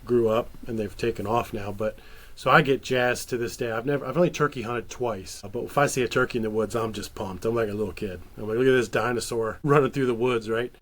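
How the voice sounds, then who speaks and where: A man's voice: medium pitch, a little fast. A man from Maryland, an enthusiastic and friendly podcast co-host asking questions in casual chat.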